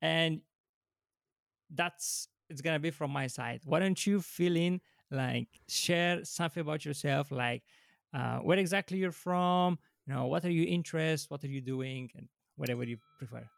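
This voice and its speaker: A man hosts a chat, his voice a bit higher than usual with a gravelly edge. He speaks eagerly but haltingly, often breaking off and starting again. The recording is close and dry. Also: no echo. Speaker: YouTube channel host. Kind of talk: casual interview.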